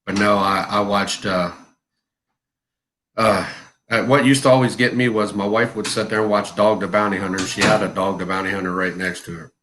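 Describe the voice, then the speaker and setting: A man's voice: lowish voice, steady tone, brisk, a bit bright, slight background noise. A man in his forties chatting casually on a live stream, laid-back, laughing often and telling long stories. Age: 40s. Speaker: co-host of a live chat stream.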